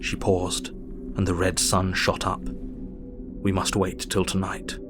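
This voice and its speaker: A man playing an earnest Victorian doctor, narrating his diary in a measured, formal way for an audio drama. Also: sits low, monotone, music in the background. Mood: sober, tense.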